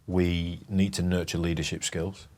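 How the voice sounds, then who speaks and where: Very deep-voiced man, measured and reflective. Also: little pitch movement, darkish tone. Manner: measured, reflective, some hesitations. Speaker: head teacher. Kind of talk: documentary interview.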